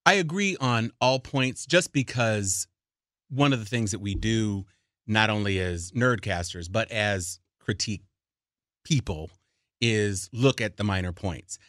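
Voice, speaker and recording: Male podcast co-host, lowish voice, dry sound, eager run-on talker. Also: measured pace, fairly bright tone, dry recording. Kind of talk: casual podcast chat.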